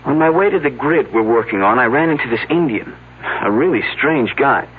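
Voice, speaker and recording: A man's voice: medium pitch, lively intonation, a little fast, slightly rough. An actor playing an earnest, slightly flustered young man from an archaeological dig, answering questions in a mystery drama. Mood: earnest, recounting. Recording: narrow, slightly noisy phone-like old broadcast audio.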